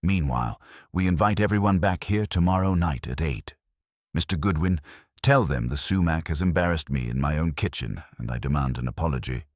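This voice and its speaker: A man's voice: extremely low pitch, a little fast, no room sound, clean studio sound. A man voicing a big, imperious, pedantic detective in a mystery audiobook, with pompous, formal, commanding speech.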